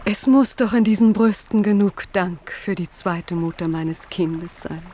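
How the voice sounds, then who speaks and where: A woman's voice: mid-range voice, unhurried, dark tone, lightly acted. Woman acting a tender, rapturous young mother. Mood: tender, exalted. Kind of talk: 1950s German radio drama acting.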